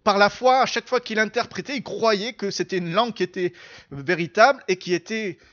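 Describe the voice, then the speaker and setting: High-pitched man preaching earnestly. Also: a little fast, a bit bright, highs cut off. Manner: earnest, emphatic, repeats phrases for stress. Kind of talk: church sermon, storytelling and teaching.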